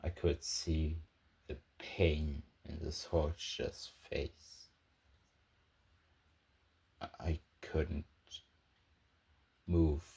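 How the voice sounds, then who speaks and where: A grim, battle-shaken soldier played by a man records a sci-fi audio log in an extremely deep, husky voice. He speaks very slowly and haltingly, in short broken phrases with heavy pauses between them. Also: full character voice. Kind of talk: scripted first-person audio log narration, amateur sci-fi roleplay.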